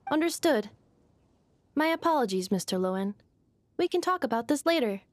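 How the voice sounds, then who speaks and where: A woman's voice: on the high side, lively intonation, moderate pace, close to an everyday voice. A woman voicing a polite, dutiful female robot in a video game, formal and precise in manner. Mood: polite, composed. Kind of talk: scripted video game voice acting.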